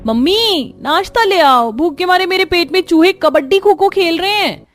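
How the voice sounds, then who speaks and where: A woman's voice: very high-pitched, animated, normal speed, voice pushed up. A woman voicing an exasperated young daughter-in-law in a cartoon, complaining dramatically. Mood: whiny.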